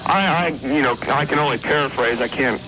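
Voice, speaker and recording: A male radio caller with an average-pitched voice. He chats casually but haltingly, with many hesitations. The phone call is noisy, aired on an old AM radio show. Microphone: telephone.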